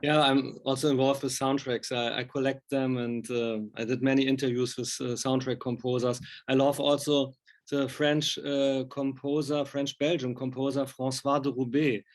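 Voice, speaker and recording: A man's voice: mid-range voice, level tone, normal speed. A man speaking non-native English, interviewing informally with rambling, enthusiastic fan talk. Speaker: interviewer, film and music journalist.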